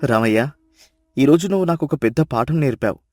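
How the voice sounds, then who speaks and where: A man's voice: medium pitch, expressive, a little fast, a little mellow, lightly acted. A man playing a well-off, emotional village brother-in-law in a dubbed moral-story cartoon, with earnest, emphatic delivery. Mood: grateful, commanding. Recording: music behind the voice.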